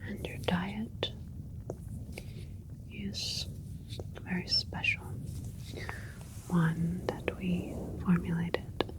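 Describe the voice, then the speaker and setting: Casting: woman as gentle alien doctor for sci-fi ASMR roleplay; whispered, unhurried, soothing and clinical. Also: fairly low pitch, flat delivery, rough recording. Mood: soothing, attentive. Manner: soft, careful, soothing, clinical phrasing. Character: gentle alien scientist doctor tending a newborn plant hybrid.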